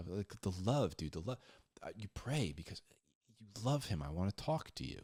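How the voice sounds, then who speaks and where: A man's voice: lowish voice, big pitch swings, normal speed, a little mellow, a little hiss or hum. A man giving casual, conversational Bible teaching in slangy, informal speech, repeating words for emphasis and acting out little dialogues. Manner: acts out imagined back-and-forth dialogues.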